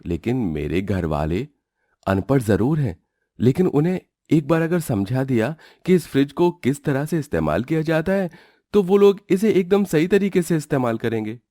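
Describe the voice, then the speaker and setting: Male actor as sensible young working husband; reproachful but reasonable, expressive, dry studio sound. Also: medium pitch, moderate pace, no room sound, lightly acted. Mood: earnest, pleading. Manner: earnest, reproachful.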